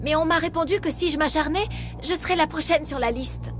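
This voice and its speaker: An actress playing an earnest, guilt-ridden young researcher in a dramatic game dub, speaking anxiously. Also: female voice, sits high, a little fast, close to an everyday voice. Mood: remorseful, anxious.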